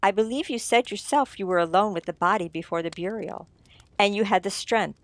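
A woman playing a shrewd writer-sleuth who explains things theatrically and deliberately in an old-time radio mystery. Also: mid-range voice, natural voice. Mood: smugly accusing.

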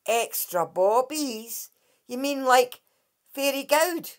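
A nearly teenage girl with a Scottish accent asks blunt, curious questions, as a woman storyteller voices her. The voice is fairly high and the delivery slow. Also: expressive.

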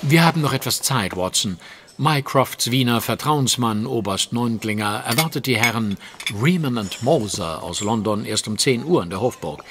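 An actor playing a self-assured, superior English detective, speaking precisely and wryly in an audio drama. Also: male voice, mid-range voice, lively intonation, normal speed, close to an everyday voice. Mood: wry, brisk. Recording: clear background noise, clinking cutlery.

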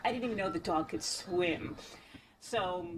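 A woman's voice: on the low side, unhurried. A middle-aged woman giving a news interview, telling her story in a plain, earnest way. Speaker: dog owner interviewed. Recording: news clip played back, noisy.

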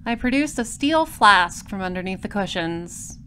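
A woman playing a patient, composed detective, narrating an audio drama in a measured, wry way. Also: medium pitch, animated, fairly bright tone, slight background noise, close to an everyday voice. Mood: dry narration. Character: composed, patient female space-patrol detective narrating her case.

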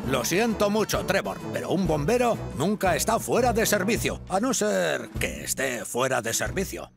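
A man's voice: fairly high pitch, big pitch swings, moderate pace, creaky. An actor playing an older, self-important male fire station chief in a dubbed cartoon, with a chuckling, pompous manner. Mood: bluff. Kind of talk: cartoon dubbing. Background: music.

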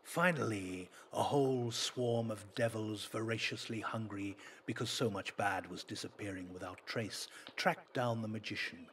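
A man reading a folk tale as a storyteller, in a dramatic, deliberate manner. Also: lowish voice, fairly bright tone, slight background noise.

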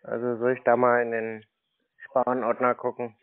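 A man's voice: mid-range voice, completely flat delivery, moderate pace, no room sound. A man in a casual phone conversation, acting clueless and asking questions as a customer. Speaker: scam-baiting prank callee posing as customer. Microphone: phone call. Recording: muffled narrow-band call audio.

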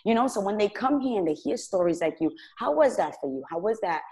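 A woman who teaches, interviewing a guest over a video call and encouraging her with quick affirmations. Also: mid-range voice, animated. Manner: warm and encouraging, affirms often. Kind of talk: casual interview chat. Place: room at home.

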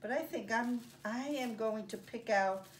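An older woman presenting story time for young children, speaking in simple, deliberate phrases with pauses as she reads and demonstrates. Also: fairly high pitch. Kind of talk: read-aloud and craft demonstration for young children. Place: carpeted home living room. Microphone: camera a few feet away. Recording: distant mic, faint room noise.

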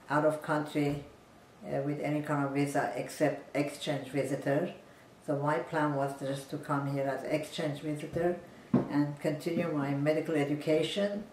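Woman, 90s, measured storyteller, home interview, distant camera mic, echoey room. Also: deep voice, a little hiss or hum. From Iran. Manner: measured, plain storytelling, seated and still. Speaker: interviewee, physician. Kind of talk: personal life story interview. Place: living room, on a sofa, indoors. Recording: roomy, distant home recording.

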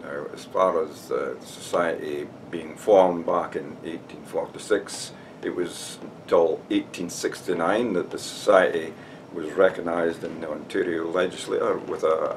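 A man's voice: low voice, distant mic, slight background noise. An older man from Scotland with a Scottish accent gives an informal talk to camera, explaining steadily and often starting with "now" or "uh". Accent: Scottish, Dumfries. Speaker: society member giving a talk. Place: room with shelves and flags behind.

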